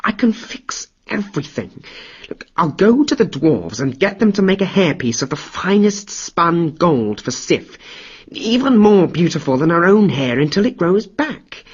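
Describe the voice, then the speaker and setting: Un homme qui joue un dieu filou lâche et pleurnichard. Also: male voice, sits high, big pitch swings, a little slow, husky, muffled recording, voice pushed up, heavily acted. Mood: wheedling. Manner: whining, wheedling, pleading. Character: cowardly sniveling male trickster god.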